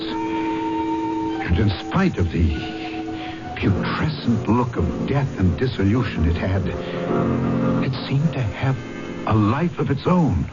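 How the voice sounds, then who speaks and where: An actor playing an earnest, apologetic and shaken police magistrate in an old-time radio drama, formal and emotive. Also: male voice, mid-range voice. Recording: muffled old radio recording with loud music.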